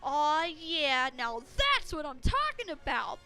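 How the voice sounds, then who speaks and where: A woman voicing an eager, excitable young male cartoon astronaut in a dramatic reading. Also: sits high, slow pace, soft background music, voice pushed up, exaggerated voice. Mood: elated.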